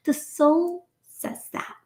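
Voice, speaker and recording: Woman host from New York, lively spiritual talk show, keeps asking "right?" and laughing. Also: takes it slowly. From New York, USA. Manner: animated, tags lines with "right?", laughs often; acts out quoted voices in a high pitch. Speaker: host, doctor. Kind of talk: casual spiritual talk to live viewers.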